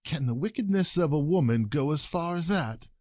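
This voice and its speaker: A man acts out a wronged husband in a classic comedy audio play. His fairly high voice is theatrical, indignant and exasperated, forever protesting and pleading. The sound is dry, with no room around it. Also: expressive, normal speed, darkish tone, no room sound, lightly acted. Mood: bitter gloating.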